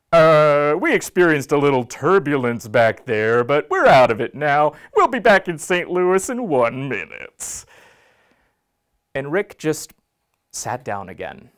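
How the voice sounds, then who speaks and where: An exasperated man played by a male actor unloads a comic stage monologue. He talks slowly and conversationally, stumbling and restarting in disbelief at what he has had to put up with. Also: a little high, clear, resonant tone. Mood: resentful disbelief. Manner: conversational, halting, incredulous. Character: exasperated man recounting an annoying houseguest's antics.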